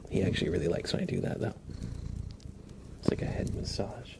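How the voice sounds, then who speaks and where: A man hosting an ASMR livestream in a soft, breathy half-whisper with a creaky low voice, playful and unhurried, close on the microphone. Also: heavy background noise. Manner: playful, gently joking, unhurried. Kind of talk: ASMR tarot card reading for viewers.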